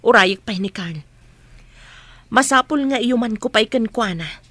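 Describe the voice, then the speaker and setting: A woman's voice: a little high, animated, measured pace, a bit bright, husky, dry recording, exaggerated voice. A woman playing a protective, burdened eldest sister in a radio drama, speaking in a guarded, serious way. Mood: troubled.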